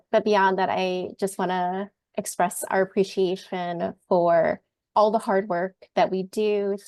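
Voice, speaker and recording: A woman giving brief, measured, appreciative welcome remarks at a virtual meeting. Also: on the low side, a little mellow, no room sound. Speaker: meeting participant.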